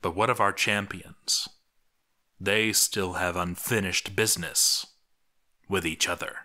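A man reading a scripted story aloud as a narrator, theatrical and deliberate, with dramatic pauses. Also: lowish voice, bright, forceful tone. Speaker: narrator of a video series.

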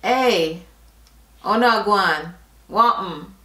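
Very slow-talking Jamaican woman, roomy sound. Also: mid-range voice, sharp tone, slight background noise. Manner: slow, deliberate reading, slips into patois asides. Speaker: vlogger. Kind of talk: casual reading of a word list and questions. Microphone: camera facing her at close range.

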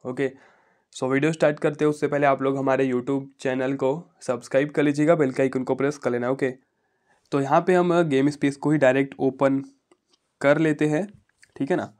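A man's voice: medium pitch, fairly even intonation, moderate pace, a little mellow. A man giving a casual phone tutorial voice-over, repeating himself and often checking in with listeners. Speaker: tech YouTuber.

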